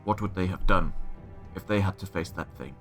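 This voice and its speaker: A man's voice: deep voice, moderate pace, fairly bright tone, faint music behind. A man playing a wounded, stubborn militia captain in a fantasy audio drama, terse and bitter, speaking with formal military address. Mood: grim, troubled.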